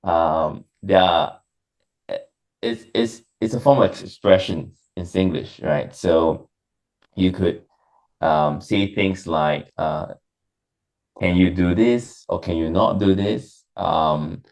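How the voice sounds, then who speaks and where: Low creaky singaporean guy, lots of um and uh, chatting in an interview. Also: slow, darkish tone, low-quality recording. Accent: Singaporean English. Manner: hesitant, many fillers. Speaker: interviewee, businessperson. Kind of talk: casual interview answers.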